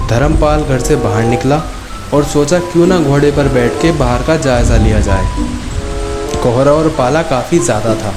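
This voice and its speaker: A man's voice: medium pitch, echoey room. A man narrating a scripted children's story as a storyteller.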